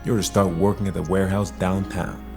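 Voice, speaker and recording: Guy as friendly businesslike company rep, low creaky voice, audio drama w music. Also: level tone, brisk. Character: friendly, matter-of-fact company man bearing good news. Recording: music under the voice.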